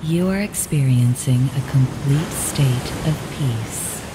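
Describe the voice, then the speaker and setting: A woman reading a guided relaxation script in calm, measured, repetitive instructions, with ocean waves behind her. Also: rumbling low, loud music behind the voice. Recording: ocean surf noise with added reverb.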